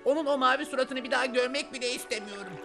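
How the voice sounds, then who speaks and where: A woman's voice: sits high, very fast, creaky, music in the background. A woman voicing a stubborn, touchy little frog in a children's cartoon, with an exaggerated, indignant delivery. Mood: grumpy. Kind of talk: animated children's cartoon dub.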